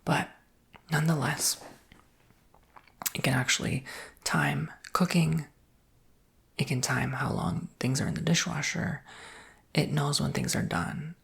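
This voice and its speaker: Whispering American man, breathy and crackly. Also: a little high, fairly even intonation. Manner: soft, unhurried, reassuring explaining. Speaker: ASMR roleplayer as robot salesperson. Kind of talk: whispered ASMR roleplay, improvised sales pitch.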